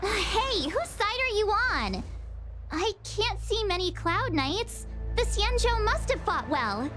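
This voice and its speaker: An actress playing an informal, expressive teenage girl adventurer in an anime-style video game. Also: very high voice, takes it slowly, exaggerated voice. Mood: surprised, questioning. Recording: music behind the voice. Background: game music.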